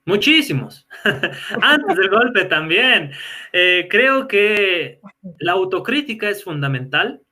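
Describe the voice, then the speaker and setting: A man from Bolivia answers questions in a live online interview. His voice is bright and forceful, sitting a little high for a man. He talks in a measured, analytical way, pausing on frequent "eh" fillers. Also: sing-song. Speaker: guest speaker.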